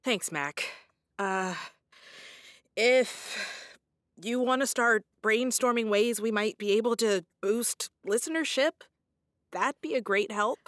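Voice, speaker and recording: A woman's voice: fairly high pitch, slow, a bit bright, no echo, studio quality. An actress playing an earnest, naive woman reporter in an audio drama, hesitant and incredulous in manner. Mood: grateful. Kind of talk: naturalistic audio drama dialogue.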